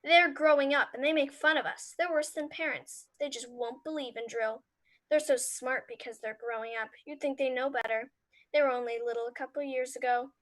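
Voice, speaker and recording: A woman playing an excited, matter-of-fact little girl in a radio play. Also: sits high, fairly quick, close to an everyday voice. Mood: excited, defiant.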